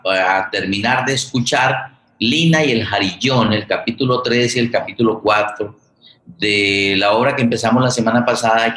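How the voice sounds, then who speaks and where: A man with a Colombian accent hosting a radio theater program conversationally, with halting, unhurried phrasing. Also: on the low side, a bit bright. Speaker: host of a radio theater forum. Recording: echoey room sound.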